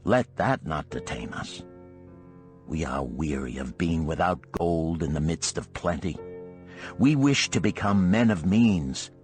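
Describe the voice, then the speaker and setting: Man voicing a low, weary ancient chariot builder. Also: expressive, a little mellow, faint music behind. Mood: hopeful, resolved. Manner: slow, brooding, archaic phrasing. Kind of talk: storybook parable dialogue.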